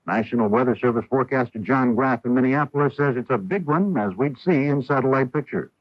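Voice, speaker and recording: A man's voice: medium pitch, fairly quick, dry recording. A man with an American accent reading scripted network news as a radio anchor. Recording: dull lo-fi 1980s radio broadcast.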